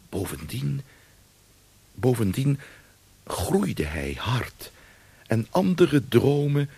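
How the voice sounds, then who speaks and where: An actor playing a wry storyteller, narrating a radio play expressively with savoured pauses and asides. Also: male voice, on the low side, gravelly, husky, heavily acted. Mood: wry, savouring. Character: wry male storyteller of old Amsterdam.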